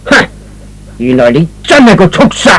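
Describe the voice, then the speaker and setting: A man playing a bitter, irate old father in a radio drama, scolding emphatically in a husky voice. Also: on the high side, wildly swooping pitch, normal speed, fairly bright tone, full character voice, poor audio. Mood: furious, bitter. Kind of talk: Cantonese radio drama acting.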